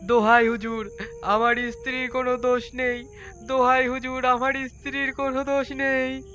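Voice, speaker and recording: A man's voice: very high voice, little pitch movement, normal speed, sharp tone. A man playing a desperate village husband in an audio story, crying and pleading as he repeats himself before a magistrate. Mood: tearful pleading.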